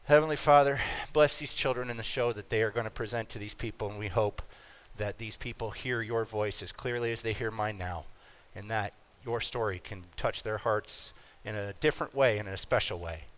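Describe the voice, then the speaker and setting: A man's voice: lowish voice, brisk, few pauses, fairly bright tone. Casting: male show leader, plain-spoken, informal introduction and prayer.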